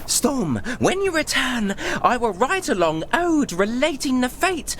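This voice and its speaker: A dreamy little poet in a video game. He declaims slowly and theatrically, the pitch sweeping up and down in flowery rhyme. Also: very animated, a bit bright, slight background noise, exaggerated voice. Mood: grandiose, dreamy. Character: florid, dreamy male Smurf poet. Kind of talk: rhyming verse in video game cutscene.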